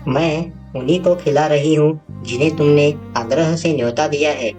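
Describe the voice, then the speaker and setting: A man's voice: a little high, moderate pace, mellow, voice pushed up, close to an everyday voice. Man voicing a distant-sounding, proud village mother. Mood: proud, reproachful. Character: poor, proud, hardworking village mother selling homemade pickles. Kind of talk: acted cartoon moral story. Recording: distant voice over faint music.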